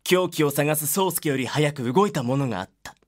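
A man's voice: fairly high pitch, lively intonation, brisk. A man giving dramatic scripted narration as the narrator of an audio drama.

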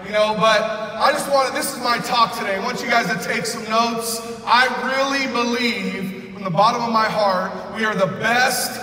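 A man in his thirties giving a loud, excited motivational speech on a big convention stage, often shouting to the crowd. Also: extremely high pitch, normal speed, hardly any pauses, slight background noise. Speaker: convention speaker. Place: large convention arena stage. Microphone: far recording from the audience. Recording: echoey arena recorded from far off.

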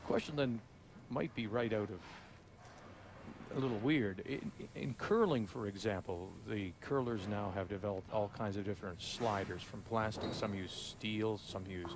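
A man's voice: fairly low pitch, moderate pace. A man giving conversational, inquisitive live play-by-play commentary on a bowling match. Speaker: sports play-by-play announcer. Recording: live crowd noise behind. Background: audience and hall noise.

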